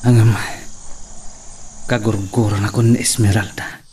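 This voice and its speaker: A man playing a worried, loyal young servant in a radio drama, with an anxious, hushed manner. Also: on the low side, level tone, measured pace, noisy background, close to an everyday voice. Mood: troubled. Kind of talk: radio drama acting with inner monologue.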